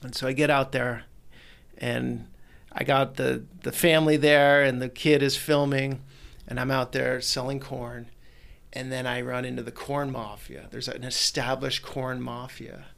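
Gravelly-voiced man telling travel stories. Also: mid-range voice, a little slow. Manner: animated storyteller, rambling with restarts. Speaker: guest, documentary maker. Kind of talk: casual storytelling conversation.

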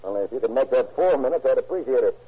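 A man's voice: medium pitch, lively intonation, very fast, husky. An actor playing a driven, impatient male police lieutenant in an old radio crime drama. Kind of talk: 1940s radio acting. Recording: dull old radio recording with music.

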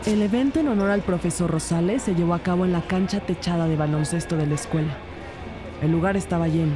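A woman's voice: on the low side, fast, close to an everyday voice. A woman playing a detective who narrates her case in a scripted audio drama. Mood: narrating. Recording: clear background music under voice.

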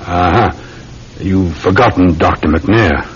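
An actor playing a measured, dry London police sergeant in a classic radio drama. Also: male voice, slightly rough, exaggerated voice. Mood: skeptical. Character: weary, methodical London police sergeant, a patient uncle. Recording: dull, rumbling, noisy old radio recording.

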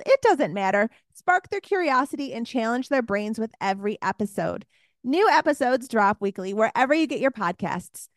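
A woman's voice: high voice, dry recording, clean studio sound. A woman reading an upbeat scripted promo for a family podcast.

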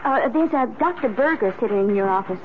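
A woman acting in a 1970s radio drama as a brisk, polite office secretary. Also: on the high side, expressive, lightly acted. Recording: phone-like radio sound, faint noise.

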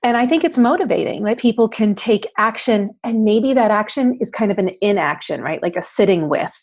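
Raspy woman interviewer. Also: mid-range voice, lively intonation, a little fast, a little mellow. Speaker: podcast host. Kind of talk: interview conversation.

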